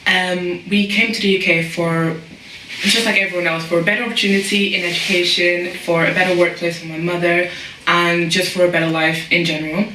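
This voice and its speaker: Bright, lowish-voiced woman in echoey room. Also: steady tone, brisk. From Portugal. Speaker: interviewee. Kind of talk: personal testimony. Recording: roomy echo, faint noise and clicks.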